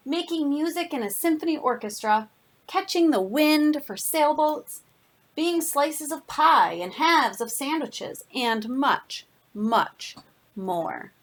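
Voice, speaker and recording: A woman with a slightly high voice reads a children's story aloud in the manner of a teacher. Her pitch swings widely from phrase to phrase. She takes her time, stretching and stressing words for young listeners. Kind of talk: expressive children's read-aloud.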